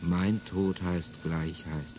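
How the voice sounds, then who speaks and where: World-weary male revolutionary, low voice, brooding, bitter, radio play, music underneath. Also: little pitch movement, slow. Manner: brooding, rising to bitter outbursts. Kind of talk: classic radio drama monologue.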